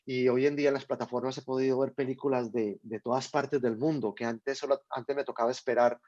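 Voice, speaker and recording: Colombian guy thinking out loud, lots of restarts, muffled dry zoom sound. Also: mid-range voice, steady tone, brisk, no echo. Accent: Colombian (Medellín). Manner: thoughtful, many restarts and repeated words. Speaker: guest writer. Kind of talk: hesitant conversational talk on a video call. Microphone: video call. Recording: dull lo-fi video call audio.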